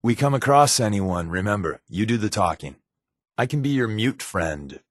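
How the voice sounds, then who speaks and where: An actor playing a wisecracking, sardonic undercover companion in a video game, talking in a glib, deadpan way. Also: male voice, lowish voice, normal speed, dry recording, studio quality. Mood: sly, joking. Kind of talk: game voice lines, dry quips.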